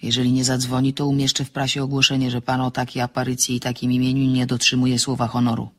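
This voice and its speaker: A woman giving an expressive reading of a novel, acting out the dialogue, in a husky voice. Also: a bass voice, quick pace.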